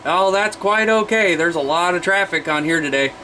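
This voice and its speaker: A high-voiced American man works the CB radio, making casual contacts with other operators. Heavy static and hum surround his voice throughout. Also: normal speed. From Iowa, United States.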